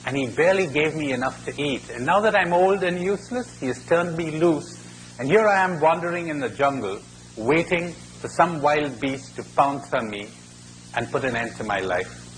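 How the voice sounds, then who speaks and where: A man's voice: on the high side, animated, moderate pace, close to an everyday voice, low-quality recording. Old storybook donkey played by a man, weary, bitter, resigned complaint, slight recording noise.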